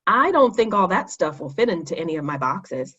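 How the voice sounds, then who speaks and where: A woman's voice: on the low side, sing-song, a little fast, fairly bright tone, breathy edge, lo-fi sound, full character voice. A woman voicing an eager, bossy schoolboy with an emphatic, take-charge manner in a children's storybook. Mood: stubborn.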